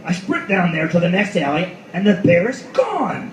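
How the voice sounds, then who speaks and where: Man playing a hardboiled noir detective, animated, roomy sound. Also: high-pitched, moderate pace. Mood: cold, menacing. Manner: world-weary, profane, sardonic. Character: hardboiled cynical male detective at the world's end. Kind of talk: noir radio play, first-person narration. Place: live reading in a roomy venue. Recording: roomy live recording with faint noise.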